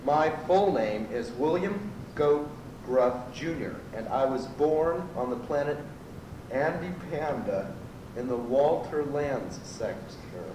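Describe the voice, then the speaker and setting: A man's voice: medium pitch, lively intonation, takes it slowly, far from the mic. Male actor, earnest teenage goat witness, rambling, radio spoof, echoey, noisy tape. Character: earnest, rambling nineteen-year-old male goat, rental clerk. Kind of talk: amateur old-time radio comedy acting.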